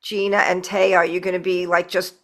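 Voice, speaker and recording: A woman's voice: fairly low pitch, flat delivery, brisk, fairly bright tone. A woman hosting a podcast, chatting casually and chiming in with agreement, recorded over a video call. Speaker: podcast host. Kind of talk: casual chat.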